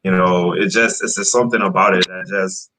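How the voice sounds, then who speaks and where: A man's voice: low voice, brisk, rough recording. A man in his thirties from Trinidad, a rapper guest talking casually and rambling with fillers about his start in music. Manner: relaxed, rambling with fillers and restarts. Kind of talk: casual interview chat.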